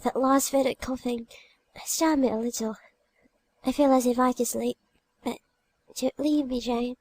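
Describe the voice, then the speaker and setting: A woman playing a gentle, pious young girl who is dying, speaking softly and weakly in a dramatic audiobook reading. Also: sits high, measured pace. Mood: tender, drowsy. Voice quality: soft, weak, breathy. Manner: calm, gentle, tender.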